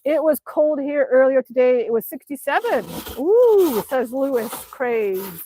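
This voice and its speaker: A woman's voice: sits high, animated, unhurried, mellow, slight background noise, poor audio. A middle-aged woman from Alaska, chatting casually on a livestream outdoors in the snow and reading out viewer comments. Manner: chatty, reads out chat messages and answers them. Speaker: livestream host.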